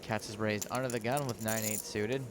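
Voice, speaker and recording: Jokey poker commentator, crowd behind. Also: male voice, on the low side, steady tone, normal speed. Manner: jokey, playful co-host. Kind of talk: live poker webcast commentary.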